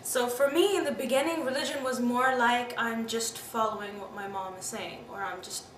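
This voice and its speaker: A woman's voice: on the high side, fairly even intonation, normal speed. A woman in her twenties from Pakistan, giving a candid, reflective interview and often saying "you know" and "I mean". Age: 20s. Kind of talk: personal interview recollection. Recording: roomy, distant mic, background noise.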